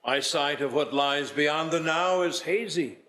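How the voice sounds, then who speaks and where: A man playing a solemn, knowing ghost who speaks gravely and cryptically in an amateur radio play. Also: fairly high pitch, a little slow, a bit bright. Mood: solemn, foreboding. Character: solemn, knowing male Ghost of Christmas Present.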